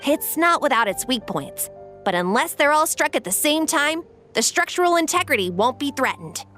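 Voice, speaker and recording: A woman's voice: sits high, very animated, normal speed, sharp tone, soft background music, full character voice. A woman voicing a careful engineer in a video game who explains things precisely. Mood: explaining.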